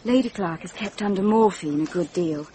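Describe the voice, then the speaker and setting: A woman's voice: fairly low pitch, normal speed, dark tone, a little hiss or hum, lightly acted. A woman playing an earnest young secretary, shaken but composed, in a radio mystery drama.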